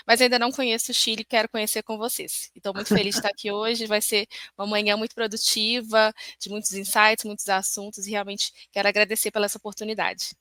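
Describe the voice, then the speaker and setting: Bright-voiced Brazilian woman from Minas Gerais. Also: medium pitch, dry recording. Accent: Brazilian Portuguese, Minas Gerais. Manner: friendly, chatty, frequent "né" and "então". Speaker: guest entrepreneur. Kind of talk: casual interview chat. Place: home.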